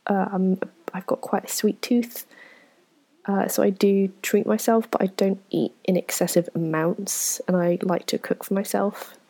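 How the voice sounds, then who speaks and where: Young woman, twenties, husky voice, mellow tone, frank and rambling, whispery asides. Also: mid-range voice, steady tone, measured pace. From England. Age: 20s. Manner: frank, self-deprecating, rambling with many ums and soft whispery asides. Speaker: vlogger answering viewer questions. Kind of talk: casual Q&A chat to camera.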